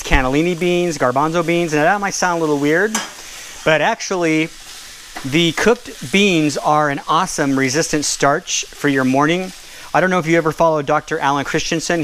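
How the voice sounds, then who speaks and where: A man giving a relaxed, casual cooking demonstration at a kitchen stove, explaining each step with the odd filler and self-correction. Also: a little high, slight background noise. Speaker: cooking and nutrition instructor. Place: home kitchen at a gas stove, seen from overhead.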